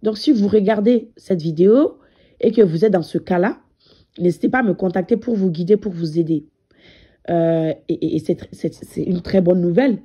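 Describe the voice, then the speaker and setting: A woman's voice: fairly low pitch, normal speed, warm tone, husky, muffled recording. A woman with a cold, speaking directly to viewers as a spiritual medium and coach, hesitant and full of fillers. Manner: hesitant, many fillers, repeats words. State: has a cold. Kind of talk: direct-to-camera spiritual talk.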